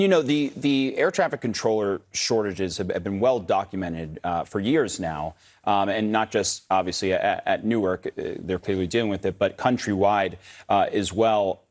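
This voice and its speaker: A man anchoring a live TV news interview, putting questions to a guest in a conversational, slightly halting way. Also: lowish voice, a little mellow.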